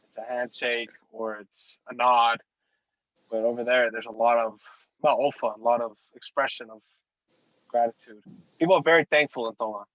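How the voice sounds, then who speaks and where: A man's voice: medium pitch, fairly even intonation, normal speed, fairly bright tone, no room sound, muffled recording. A young man from Utah, a podcast guest telling stories in an easygoing, joking way. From Utah, United States. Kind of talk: casual interview storytelling.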